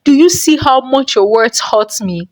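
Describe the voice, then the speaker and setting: Lady doing a hurt young son in a folktale, pleading, voice pushed higher. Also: animated, measured pace. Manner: pleading, emphatic. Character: wounded, frustrated young adult son. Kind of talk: folktale character dialogue.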